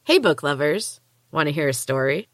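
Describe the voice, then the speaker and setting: A woman's voice: deep voice, expressive, normal speed, over loud music. A woman hosting a storytelling podcast and reading her own first-person fiction aloud. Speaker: podcast host and author.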